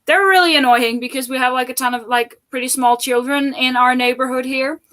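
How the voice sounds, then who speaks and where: A woman's voice: high voice, steady tone, a little fast, a bit bright. A woman from the Netherlands, chatting casually and informally as co-host of a live stream. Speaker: co-host of a book readalong stream. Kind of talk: casual chat.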